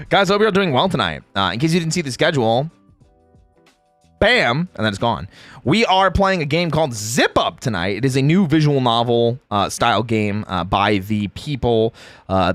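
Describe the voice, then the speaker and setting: Highly animated man streaming, playful banter. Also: no echo. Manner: exclamatory, animated reactions. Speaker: streamer and host; game voice actor. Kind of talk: casual livestream chat, greeting viewers.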